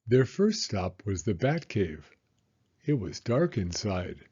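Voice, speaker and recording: A man reading a children's storybook aloud in an expressive, deliberate storytelling manner. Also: lowish voice, breathy edge, dull audio. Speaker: storybook reader. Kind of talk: children's read-aloud narration.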